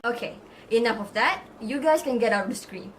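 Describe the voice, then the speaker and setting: A woman's voice: a little high, expressive, fairly quick, slight background noise, close to an everyday voice. A woman playing an encouraging but firm female teacher in a scripted student puppet show lesson. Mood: gently scolding. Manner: instructive, addresses class with questions and praise. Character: encouraging female teacher, praising yet gently scolding her students.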